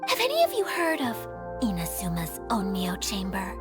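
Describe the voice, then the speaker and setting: A woman's voice: medium pitch, wildly swooping pitch, moderate pace, over music. A woman voicing an anxious, polite young female caretaker in a video game, speaking formally and hesitantly. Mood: polite, eager.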